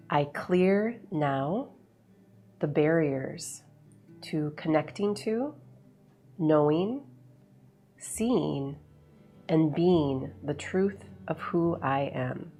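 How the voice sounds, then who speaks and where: A woman leading a guided meditation in a soothing, unhurried way, with measured phrases and long pauses. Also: sits low, darkish tone. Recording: voice over background music. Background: background music.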